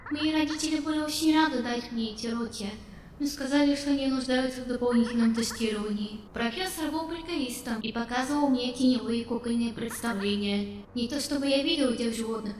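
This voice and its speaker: Woman as young robot girl, game character, high voice, polite and wistful, distant roomy reverb. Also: natural voice. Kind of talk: game character dialogue dub. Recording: roomy long reverb, distant, faint noise.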